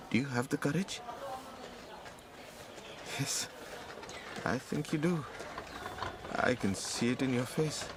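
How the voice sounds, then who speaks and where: An actor plays a wounded, distrustful man in a radio play, a wreck of a former lover. His mid-range voice is intense and questioning. There is clear noise in the background of the recording. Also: medium pitch. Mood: tender, hopeful. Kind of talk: radio drama acting.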